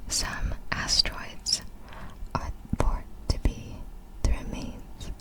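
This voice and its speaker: A woman whispering ASMR narration of facts in a breathy, fully unvoiced whisper, with careful, deliberate phrasing. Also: rough recording. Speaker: ASMR creator.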